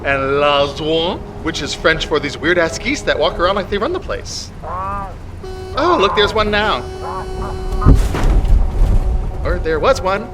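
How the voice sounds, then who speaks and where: A man's voice: high voice, animated, measured pace, over music. A man playing a chipper, hapless bus tour guide in an audio drama comedy, announcing with sing-song showmanship. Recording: clear vehicle and outdoor noise.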